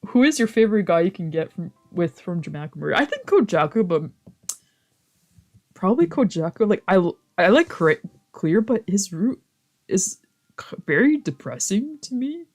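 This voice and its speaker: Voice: mid-range voice, very animated, moderate pace. A streamer with a slangy, sweary way of talking, chatting casually and reading visual novel text aloud.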